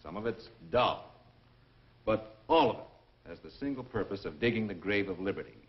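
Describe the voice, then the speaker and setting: An American man delivering scripted 1950s propaganda film narration as a military presenter, with an emphatic, deliberate and sardonic delivery. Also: fairly low pitch, lively intonation. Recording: dull 1950s film soundtrack, music behind.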